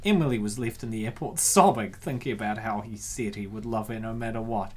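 A man's voice: fairly low pitch, brisk. A man narrating a comic as commentator, theatrical and slangy, riffing casually on it as he goes.

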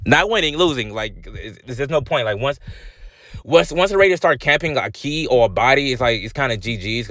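A man with a lowish voice rambles through a gaming voice-over, sounding drowsy and loose, stacking up fillers and slang. Soft music plays faintly underneath. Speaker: gaming channel host. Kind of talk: casual rambling gameplay voice-over.

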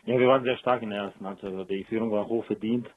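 A man's voice: fairly low pitch, fairly even intonation, very fast. A man speaking as a football coach in a post-match interview over a telephone line. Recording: muffled, dry telephone line.

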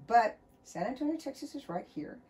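A middle-aged woman from the United States, a children's author, chatting and reading a storybook aloud in her book-filled home studio with a cheerful, expressive storytime manner. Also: fairly high pitch, moderate pace. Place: home studio full of books, indoors.

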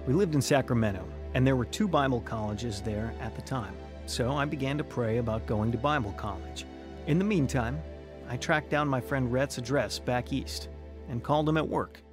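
A man's voice: mid-range voice, normal speed, over music, lightly acted. An actor playing an earnest, eager young man turned pastor in a dramatized radio testimony. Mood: reflective narration. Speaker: narrator and lead actor.

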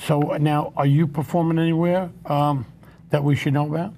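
A man's voice: fairly high pitch, little pitch movement, brisk, a little mellow, a little hiss or hum. An older man hosting a studio talk show, joking and bantering with his guests. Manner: joking, gravelly, flirtatious banter. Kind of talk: casual talk-show chat.

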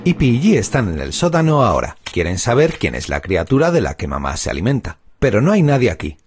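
A woman playing the narrator of a children's cartoon, telling the story in an expressive, scripted style. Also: a bass voice, fast. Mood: storytelling.

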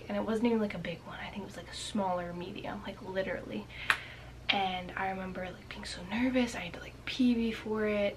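Chatty young woman, slightly raspy. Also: mid-range voice, a little hiss or hum. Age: young adult. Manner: chatty, many "like" fillers, drops into whispers. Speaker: vlogger. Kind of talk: casual storytelling to camera. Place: small bedroom indoors.

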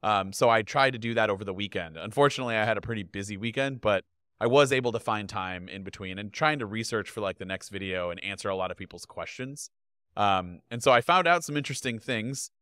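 A man in his thirties, a guest on a video podcast, talking casually and animatedly into a boom microphone in his home studio. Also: quick pace, clean tone, dry recording. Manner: animated, gestures a lot while talking. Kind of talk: casual chat. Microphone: podcast mic on boom arm.

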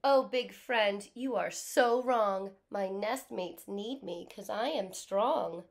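A woman's voice: mid-range voice, slow pace, creaky. A woman voicing a tiny, brave storybook ant that pleads earnestly in emphatic rhymes. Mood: earnest pleading.

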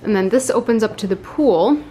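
A young woman chatting to her handheld camera in a casual travel vlog, bubbly and a little hoarse from yelling. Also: medium pitch, animated, moderate pace, noisy background. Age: young adult. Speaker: family vlogger. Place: car, plane and rental house. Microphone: handheld camera at arm's length.

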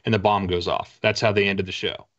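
Middle aged guy w low voice, excited rambly storytelling in a casual interview, bit muffled call sound. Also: brisk. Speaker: guest author. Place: indoor room with bookshelves, on a video call. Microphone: video call. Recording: slightly dull video-call audio.

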